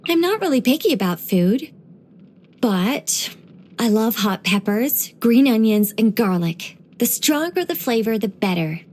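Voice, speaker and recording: A woman voicing an ancient angel in a video game, dignified yet whimsical and chatty, with a measured, gently playful delivery. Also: on the high side, very animated. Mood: chatty, proud. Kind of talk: video game character voice lines.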